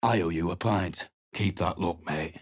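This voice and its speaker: A weary, foul-mouthed mercenary for a video game, speaking gruffly and bluntly. His voice sits low, with a sarcastic edge to every remark. The sound is dry and close, with no room around it. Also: measured pace, dry recording. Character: surly male mercenary adventurer, blunt and weary. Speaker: game character.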